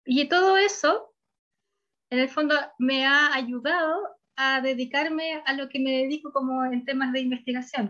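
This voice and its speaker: A woman's voice: a little high, fairly bright tone, highs cut off. Thoughtful woman in her forties. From Chile. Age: 40s. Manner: thoughtful, self-correcting, frequent "como". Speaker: researcher guest. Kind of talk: conversational interview answers.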